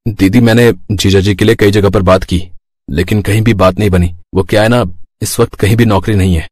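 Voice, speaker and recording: A man's voice: on the low side, fairly even intonation, brisk, darkish tone, no echo, studio quality, close to an everyday voice. A man voicing a helpful, protective younger brother, speaking earnestly in a moral story. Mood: reassuring. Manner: earnest, reassuring. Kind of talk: Hindi moral story voice acting.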